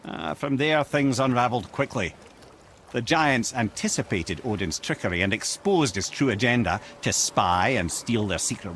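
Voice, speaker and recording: An actor playing a genial, learned old sage in a video game, telling tales eloquently with a Scottish accent. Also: male voice, medium pitch, slight background noise. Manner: eloquent, expressive storyteller. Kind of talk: dramatic video game storytelling.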